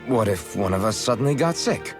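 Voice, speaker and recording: Guy voicing young rpg hero, lowish voice, earnest peacemaker type, music playing behind. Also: animated, close to an everyday voice. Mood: hesitant, earnest. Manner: earnest, conciliatory, measured. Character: earnest young male warrior, patient peacemaker. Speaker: game character voice. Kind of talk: scripted English game voice acting. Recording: background music under dialogue.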